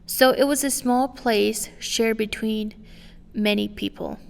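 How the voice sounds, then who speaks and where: A woman in her twenties, born in Romania and a native Romanian speaker, calmly reading her own story as scripted narration. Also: fairly high pitch, slow, gravelly. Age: 20s. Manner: calm, reflective, read aloud line by line. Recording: long reverb with faint music behind.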